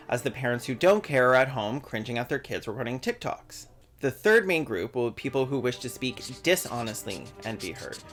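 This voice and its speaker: Young male essayist, mid-pitched, dry sardonic read over faint background music. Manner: sardonic, profane asides. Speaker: video essayist. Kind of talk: scripted commentary with sarcastic jokes.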